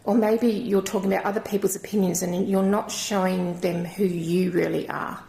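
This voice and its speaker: A woman with a croaky voice giving a channelled crystal reading in a gentle, rambling, reassuring way. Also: fairly low pitch, level tone, normal speed. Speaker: intuitive card reader. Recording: echoey room.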